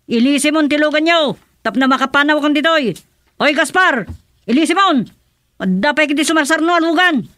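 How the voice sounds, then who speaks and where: Chatty high-voiced woman. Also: lively intonation, fairly quick, fairly bright tone, no echo, studio quality. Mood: scolding. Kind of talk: Filipino radio drama acting in Ilocano.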